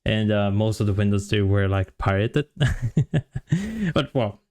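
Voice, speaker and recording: A man's voice: lowish voice, lively intonation, slow, darkish tone. A man in his thirties from Brazil, with a Brazilian Portuguese accent, casually telling his story to camera with many fillers and restarts. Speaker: vlogger, software developer.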